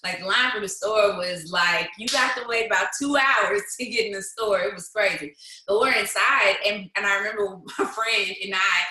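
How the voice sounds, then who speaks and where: A woman's voice: mid-range voice, moderate pace, pushed and bright, roomy sound, low-quality recording. A woman in her thirties telling stories as a guest, bold and emphatic, laughing often. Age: 30s. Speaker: guest, business leader. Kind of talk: casual storytelling, motivational.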